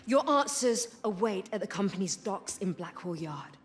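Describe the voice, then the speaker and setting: An actress playing a proud, resolute rebel queen with an Indian accent, speaking formally and gravely in a video game. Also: medium pitch, lively intonation, soft background music, natural voice. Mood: urgent, resolute. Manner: formal, measured, imperious. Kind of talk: dramatic video game cutscene acting.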